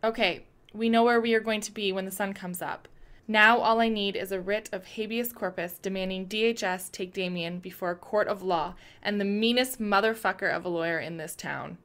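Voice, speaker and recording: A woman playing a determined leader of an urgent investigation in a radio play, speaking in a brisk, probing, blunt way. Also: mid-range voice, steady tone, a bit bright, lightly acted. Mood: decisive, blunt.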